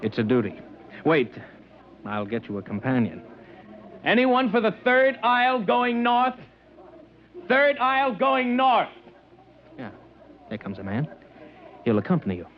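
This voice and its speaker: Curt customs official, preachy party-line type, deadly serious, old radio play, dull muffled sound. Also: male voice, sits high, measured pace, pauses often, a little hiss or hum, full character voice. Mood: officious. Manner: flat, dogmatic, deadly serious. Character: stern, doctrinaire male customs agent of a collectivist regime. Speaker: radio drama actor. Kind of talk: old-time radio drama acting.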